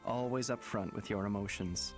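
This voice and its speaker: A man voicing a calm, probing psychologist character in a video game, speaking in a measured, knowing way over game music. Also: fairly low pitch, close to an everyday voice. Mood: composed. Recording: game audio with background music.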